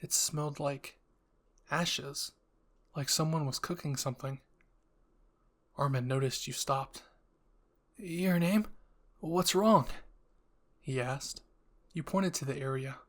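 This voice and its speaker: A man's voice: mid-range voice, fairly even intonation, measured pace, long pauses, a bit bright. A man narrating a scripted second-person story as the storyteller of a listener roleplay.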